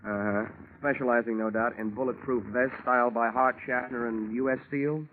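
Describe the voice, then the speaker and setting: An actor playing a wry, hard-boiled insurance investigator in an old-time radio detective drama, with a dry, wisecracking delivery. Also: male voice, mid-range voice, fairly even intonation, moderate pace, phone-quality sound, slight background noise, natural voice. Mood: dry, skeptical. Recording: band-limited old radio sound with music.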